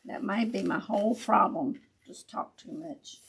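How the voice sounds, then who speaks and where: A woman with a raspy voice, chatting casually with viewers as she hosts a live craft tutorial. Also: medium pitch, measured pace. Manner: chatty, laughs often, talks to viewers as y'all.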